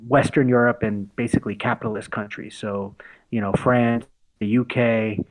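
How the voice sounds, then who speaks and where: A man's voice: medium pitch, flat delivery, normal speed, slight background noise, low-quality recording. Chatty man in his forties, laughing storyteller. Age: 40s. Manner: laughing storyteller with many fillers and restarts. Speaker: podcast guest. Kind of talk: casual conversation.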